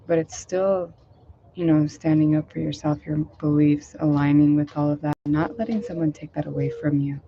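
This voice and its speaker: Woman meditation teacher, slightly hoarse, flat mellow voice, rambling with fillers, dull lo-fi sound. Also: sits low, normal speed, slight background noise. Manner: rambling, filler-heavy, gentle. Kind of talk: casual talk leading a guided meditation. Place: outdoors.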